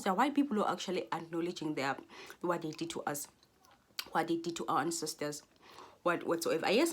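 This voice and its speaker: A Xhosa-speaking South African woman in her twenties, talking to camera in a rambling, earnest monologue full of restarts. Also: on the low side. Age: 20s. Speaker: vlogger.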